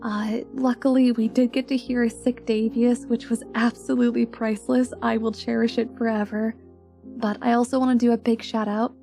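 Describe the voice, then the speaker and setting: A woman's voice: on the high side, flat delivery, normal speed, vocal fry, warm tone, faint music behind. A woman channel host chatting casually and earnestly to her listeners, with an appreciative manner.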